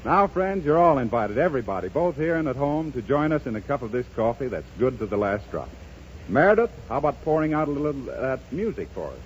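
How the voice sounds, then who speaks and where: A man's voice: mid-range voice, sing-song, fast, a little hiss or hum. An American man working as a 1930s radio announcer, reading scripted sponsor patter. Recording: dull 1930s radio recording.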